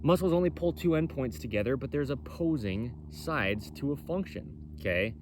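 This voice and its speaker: A man with a loud, carrying voice giving an impromptu explainer as a presenter, bold, emphatic and repetitive in how he talks. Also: medium pitch, lively intonation, normal speed, noisy background. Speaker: presenter for a clothing company. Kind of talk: impromptu explainer on body mechanics.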